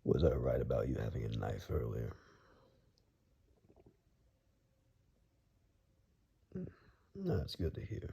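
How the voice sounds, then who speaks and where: A man playing a wary, blunt woodsman guide in a hushed ASMR roleplay, speaking in a husky, halting, matter-of-fact near-whisper. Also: rumbling low, heavily acted. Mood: cautious, frank. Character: wary, blunt male woodsman guide who knows monsters.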